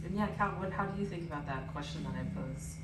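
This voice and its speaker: A woman's voice: low-pitched, fast. A woman moderating a panel, friendly, thanking people and asking questions. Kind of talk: panel discussion. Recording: roomy room mic from far off, background noise.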